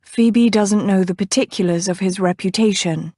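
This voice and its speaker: Woman doing a calm young lady, measured restrained voice, dry close sound. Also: mid-range voice, no room sound, close to an everyday voice.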